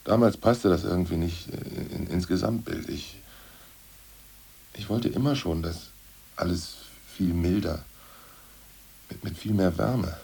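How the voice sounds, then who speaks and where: An actor playing a gruff jailed ex-party boss in a German radio play, cynical yet secretly sentimental, with a gravelly, husky delivery. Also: male voice, low voice, a little slow, pauses often, dark tone, heavily acted. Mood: wistful.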